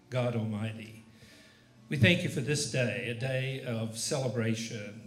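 A man with a mid-pitched voice prays aloud as a pastor, in reverent, measured phrases. The church is echoey, and guitar music plays clearly behind him. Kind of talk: extemporaneous public prayer. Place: church sanctuary during live service.

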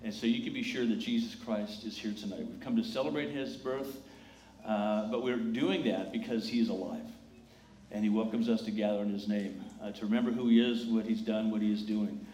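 A man speaking as a pastor, welcoming and encouraging a congregation in measured phrases during a live church service. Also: on the low side, a little mellow. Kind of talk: church welcome and scripture reading. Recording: echoey church sanctuary, clear background noise.

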